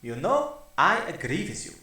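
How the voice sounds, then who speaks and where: Man putting on a sneering old German villain, animated, high, roomy echo. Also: normal speed, heavily acted. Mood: scornful. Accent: put-on German. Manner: haughty, scornful, lecturing. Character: pompous old German-accented male game villain. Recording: echoey roomy recording.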